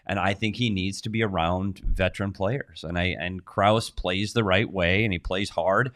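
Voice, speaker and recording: A man's voice: low voice, normal speed. A man co-hosting a hockey talk show, chatting casually with emphatic bursts and frequent word repeats. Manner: repeats words, restarts, excited and emphatic. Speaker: sports show co-host.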